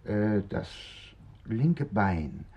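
A man's voice: on the low side, animated, drawn-out words, breathy edge, dull audio, heavily acted. An actor playing a flustered, earnest man who hesitantly recalls details as a witness in a 1970s German radio drama. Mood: searching, earnest. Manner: hesitant, searching recollection with emphatic bursts. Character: flustered, earnest male department-store Santa Claus witness.

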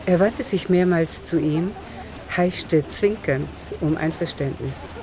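A woman narrating a literary radio play in the third person, with measured composure. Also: sits low, background noise. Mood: composed.

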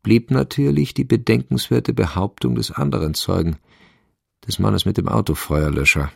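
Male narrator for radio crime drama: low, creaky voice, composed literary delivery, close dry studio sound. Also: normal speed, a little mellow, dry recording.

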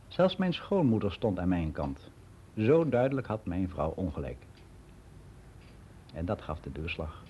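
Man playing older man, mellow, candidly reminiscing. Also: on the low side, slight background noise, natural voice. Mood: candid, indignant. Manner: matter-of-fact, rambling, candid. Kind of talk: radio play monologue as spoken testimony.

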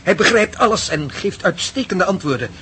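An actor playing an excitable, pedantic professor in a radio play, lecturing and stumbling over his words in his devotion to science. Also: high voice, moderate pace, slight background noise. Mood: elated. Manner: hesitant, lecturing, exclamatory. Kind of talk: radio drama acting.

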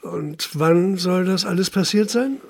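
An actor playing a sober, methodical small-town police inspector in a German radio drama, speaking in a measured, matter-of-fact way. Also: male voice, high-pitched, creaky, slightly rough, no echo, heavily acted. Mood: sceptical, firm.

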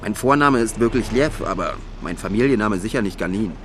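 A man's voice: lowish voice, animated, brisk, background noise, lightly acted. A man playing a cool, amused young exile in an audio drama, speaking in a casual, offhand way. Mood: nonchalant.

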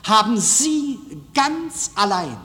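A man giving a public lecture in emphatic, deliberate phrases with rhetorical repetition, recorded in a lecture hall. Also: extremely high pitch, sing-song, bright, forceful tone, soft background music. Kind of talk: public speech, declamatory lecture.

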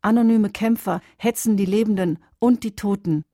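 A woman reciting poetry for a radio drama in deliberate, weighted, declamatory phrases. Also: medium pitch, warm tone, no echo, studio quality, natural voice. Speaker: reader in a radio adaptation of a poem cycle.

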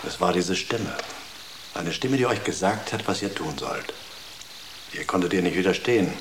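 A man playing an unruffled, reassuring spaceship crewman in a German sci-fi radio drama, terse and even-tempered. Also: sits low, moderate pace, echoey room, noisy background. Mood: explaining.

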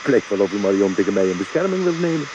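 A man's voice: on the low side, big pitch swings, very fast, dull audio, background noise. An actor playing a stubborn, guarded young man in a radio drama, terse and defensive with his words. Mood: guarded, defiant. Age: young adult.

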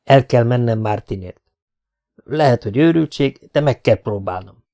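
A man's voice: mid-range voice, expressive, warm tone, natural voice. A man reading an audiobook, voicing a wounded, stubborn commando who speaks tersely and halts when moved. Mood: halting.

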